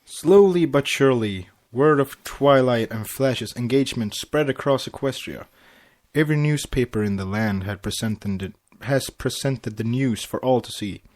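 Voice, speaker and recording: A man's voice: medium pitch, normal speed, darkish tone. A man co-hosting a podcast, chatting casually and reading fan fiction aloud. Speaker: podcast co-host and story reader.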